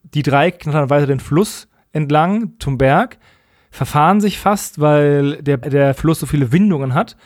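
A man talks casually as a podcast co-host. His voice is somewhat high for a man, his phrasing wry and colloquial, and the sound is tight and dry with no noticeable room. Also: unhurried, a little mellow, dry recording. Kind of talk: casual chat, recapping an audio drama.

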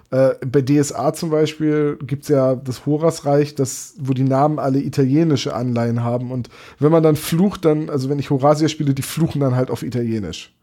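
Brief: young male game master, mellow, slightly high, rambling explainer. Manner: chatty, explains at length with many restarts. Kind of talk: casual chat about tabletop games.

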